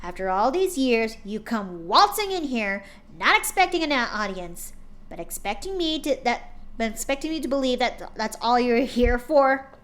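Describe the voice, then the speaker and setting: A woman's voice: a little high, sing-song, normal speed, a bit bright. Bitter pony nurse, spiteful, growling, clipped, a woman voicing her. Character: hostile bitter female pegasus nurse.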